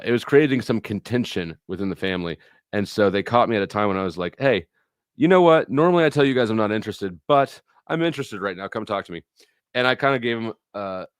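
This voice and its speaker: Low-voiced man, rambling and blunt. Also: smooth, no room sound, studio quality. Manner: rambling, blunt, profane, anecdotal. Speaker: livestream host. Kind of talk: casual livestream chat with viewers.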